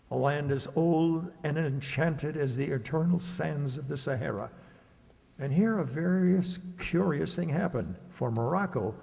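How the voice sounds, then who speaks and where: Male narrator for children's radio drama, mid-pitched and creaky, theatrical, with hall reverb. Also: darkish tone. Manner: theatrical storyteller. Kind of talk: scripted children's story narration.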